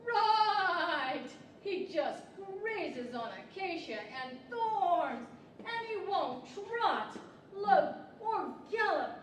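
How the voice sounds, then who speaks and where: A woman's voice: very high-pitched, very animated, drawn-out words, heavily acted. A woman playing a put-upon, complaining horse with a whiny, exaggerated delivery in a radio theater style. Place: large library event room, live stage. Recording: very echoey, distant live hall, faint noise.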